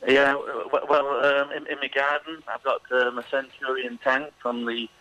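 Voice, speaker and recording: A man's voice: mid-range voice, flat delivery, moderate pace, sharp tone, dull audio. A middle-aged man being interviewed on the radio, chatty and hesitant, with many ums. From England. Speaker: interview guest.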